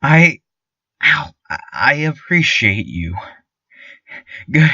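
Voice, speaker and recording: A man playing a chipper, nervously obedient small-town radio host, stammering politely through a deadpan surreal monologue. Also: a little high, extremely slow, husky, no echo, distant mic, muffled recording, full character voice. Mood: pained, strained. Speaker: local radio station host.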